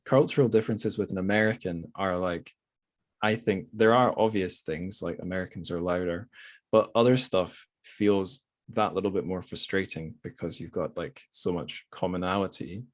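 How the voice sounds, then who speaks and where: A man's voice: deep voice, moderate pace, no echo, studio quality. A man with a Scottish accent hosting a podcast, talking casually and reflectively about books in a rambling, wry, self-correcting way. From Scotland. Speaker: podcast host. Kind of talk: casual reflective book discussion.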